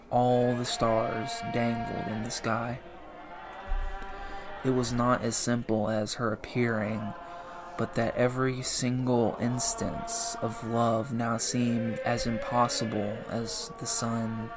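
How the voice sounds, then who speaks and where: A man slowly reading a solemn poem aloud as a podcast host, with a measured delivery. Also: medium pitch. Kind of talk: slow poetry reading. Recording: clear music under the voice.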